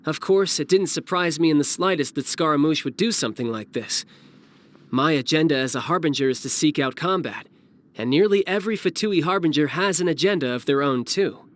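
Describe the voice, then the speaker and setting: A man playing a breezy, cunning young fighter in a video game, smooth-talking and nonchalant. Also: fairly high pitch, animated, brisk, lightly acted. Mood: calculating. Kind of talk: video game cutscene voice acting.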